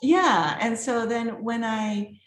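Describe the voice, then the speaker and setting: A woman's voice: a little high, takes it slowly. Chatty upbeat woman in an echoey room. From former Czechoslovakia. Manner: chatty and upbeat, laughs often. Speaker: guest artist and art professor. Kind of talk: casual self-introduction at a virtual reception.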